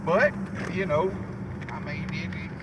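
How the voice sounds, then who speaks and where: A man's voice: fairly high pitch, steady tone, a little slow. A man chatting casually in a rambling, folksy way, repeating his phrases. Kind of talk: casual chat. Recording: distant mic, noisy outdoor recording.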